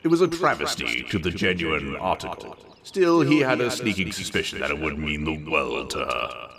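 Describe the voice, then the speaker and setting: A man's voice: very deep voice, big pitch swings, moderate pace, gravelly, fairly bright tone. A man reading a story aloud in a dramatic narrating style. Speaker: story reader.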